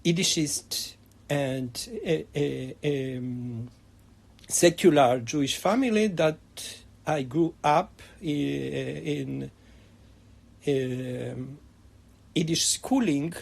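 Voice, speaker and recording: A man's voice: a little high, drawn-out words, vocal fry, a little mellow. A middle-aged man from Argentina, a history professor answering interview questions in a hesitant way, with many fillers and restarts. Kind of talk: academic interview.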